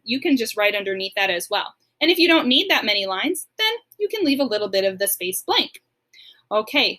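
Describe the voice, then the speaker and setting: Bright, high-pitched woman teaching children. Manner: encouraging, simple step-by-step explaining. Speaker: elementary school teacher. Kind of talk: recorded lesson for young pupils, explanatory.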